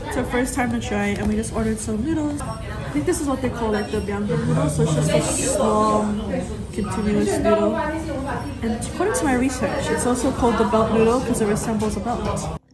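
A woman's voice: fairly high pitch, a little fast. A woman chatting casually on a vlog, joking and explaining a meal, filmed on a handheld phone. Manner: relaxed, jokey, explaining food. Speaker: vlogger. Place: restaurant and playground. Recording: loud music and crowd noise behind.

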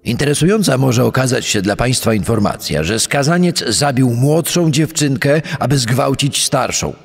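A man playing a stern, coldly formal state governor with a raspy voice, reading a letter in a crime documentary. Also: mid-range voice, expressive, normal speed. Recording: long reverb, music behind.

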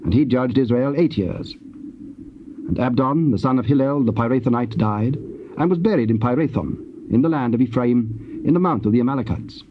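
A man narrating scripture from a script in a solemn, measured and formal way. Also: mid-range voice, expressive. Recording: muffled old cassette, faint hiss.

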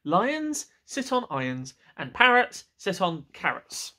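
A man's voice: high-pitched, very animated, pushed and bright, pitched above the performer's own voice, full character voice. A man voicing a bossy, stubborn cat from a children's picture book, insistent and emphatic. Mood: firm, instructive. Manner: emphatic, drawn-out, insistent delivery. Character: bossy, stubborn male cat laying down rules.

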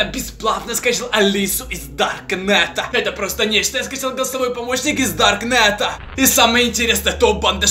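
A young man vlogging from a small room at home, talking dramatically and dropping to hushed fear. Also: high voice, slight background noise. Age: young adult. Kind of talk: staged horror vlog.

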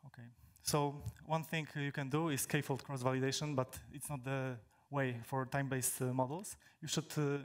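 A young man from Poland, a native Polish speaker, presents to a crowd from a stage in a big indoor hall. He talks into a handheld microphone at a middling pitch. He stops and restarts often and fills the gaps with "uh". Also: flat delivery. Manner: halting, many fillers and restarts. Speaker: conference speaker. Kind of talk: technical talk to an audience.

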